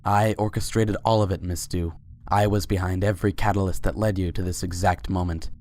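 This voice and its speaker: Suave royal stallion for fantasy audio story , acted by a man: low, measured, formal, flattering. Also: lightly acted. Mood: courtly, flirtatious. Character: suave, courtly winged unicorn Sultan, adult male pony. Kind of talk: fan fiction dramatic reading.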